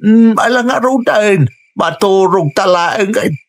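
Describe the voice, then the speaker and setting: An actor playing a bumbling, henpecked older village husband in an Ilocano radio drama, drawling comically and defensively with an Ilocano accent. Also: male voice, high-pitched, measured pace. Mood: flustered defensive.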